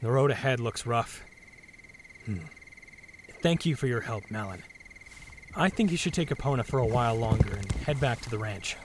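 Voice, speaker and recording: Man acting as a young fantasy hero, mid-pitched, lively intonation, earnest, hesitant, modest, audio drama, faint background noise. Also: moderate pace, pauses often, lightly acted.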